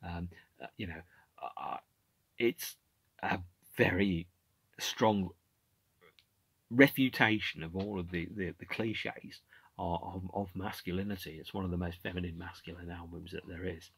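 A man's voice: low-pitched, a little slow, gravelly, pauses often, slightly rough, no room sound. A man in his forties talks casually about an album from his home room, with lots of fillers and restarts. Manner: animated, gestures with hands, many fillers and restarts. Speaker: podcast host. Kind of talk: casual music commentary. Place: home room with shelves of CDs.

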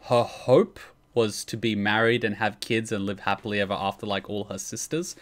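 A man's voice: on the low side, level tone, normal speed. A man casually explaining game lore on a livestream, swearing freely as he goes. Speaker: streamer and lore commentator. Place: indoor room at a streaming setup.

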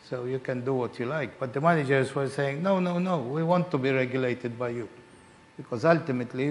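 A middle-aged man with a hoarse voice giving a conference talk from a podium, pausing to clear his throat. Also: mid-range voice, slight background noise. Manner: unhurried, frequent throat-clearing and fillers. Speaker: financial regulator giving a keynote talk. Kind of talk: conference presentation. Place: large hall with chandeliers. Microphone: podium microphone.

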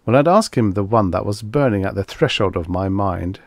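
A man narrating a scripted short story in an expressive, clearly phrased manner, close to the microphone. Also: on the low side, normal speed, no room sound. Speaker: audiobook narrator.

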